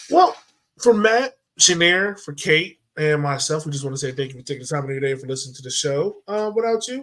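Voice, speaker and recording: A man's voice: on the high side, fairly bright tone. A man hosting a casual sports podcast, talking informally and in slang to his co-hosts and listeners. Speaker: podcast host.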